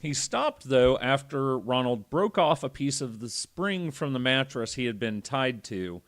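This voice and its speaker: A man's voice: medium pitch, animated, a little slow, fairly bright tone. Male podcast host, mid-range voice. Reads a story, drops in irreverent, sweary commentary. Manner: irreverent, profane. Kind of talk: reading a story aloud with casual joking asides.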